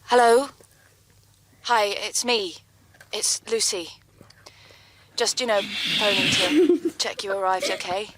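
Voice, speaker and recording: A woman's voice: medium pitch, slow pace, long pauses, a bit bright, a little hiss or hum. A woman playing an awkward, tentative caller leaving an answering machine message in a radio drama. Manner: hesitant, apologetic.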